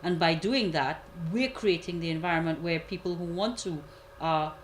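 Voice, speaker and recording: A woman commentator giving a measured analysis, repeating key points for emphasis. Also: on the low side, slight background noise. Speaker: guest commentator. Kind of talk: analytical discussion.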